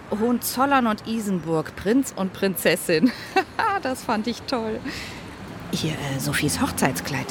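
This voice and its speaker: Loud street-like noise surrounds an actress playing a gushing woman who adores royalty. Her voice sits on the low side and swings expressively as she chats and savours details, as in a naturalistic radio play. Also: natural voice. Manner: chatty, hesitant, savouring details. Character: gushing female devotee of German royalty. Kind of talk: naturalistic radio drama monologue. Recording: loud outdoor urban traffic noise.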